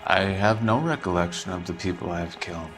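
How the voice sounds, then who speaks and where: An actor playing a bitter, scarred war veteran living as a drifter, sullen and deadpan. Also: male voice, sits low, fairly quick. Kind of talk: video game cutscene acting. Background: music score.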